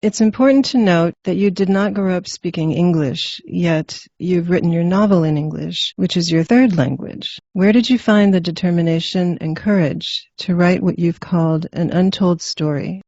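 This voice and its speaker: A woman's voice: fairly low pitch, normal speed, hardly any pauses, mellow, dry recording, muffled recording. A woman hosting an interview, reading a formal introduction and asking questions in a polished way. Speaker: interviewer host.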